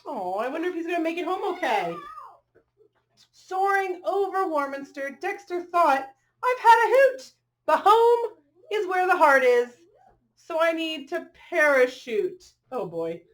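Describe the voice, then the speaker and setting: Animated high-voiced woman reading a storybook aloud. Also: measured pace. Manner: animated, engaging listeners with questions and exclamations. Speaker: storyteller reading a picture book aloud to children. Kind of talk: expressive children's read-aloud with chatty asides.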